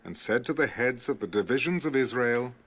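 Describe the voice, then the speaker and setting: A man narrating a dramatized audio Bible in a steady, formal way. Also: mid-range voice, a little fast, husky.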